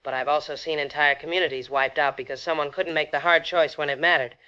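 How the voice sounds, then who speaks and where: A male actor plays a resolute nurse giving testimony in a 1940s radio drama. The delivery is earnest, articulate and grim, in a fairly high, forceful voice. The recording is a little dull and lo-fi. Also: steady tone, fast, clean tone.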